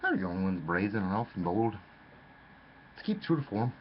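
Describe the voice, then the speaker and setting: Theatrical rambling man, low voice. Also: huge pitch swings, a little fast. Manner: theatrical, rambling, addresses listener as lad. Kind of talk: rambling storytelling monologue. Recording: dull lo-fi with faint noise.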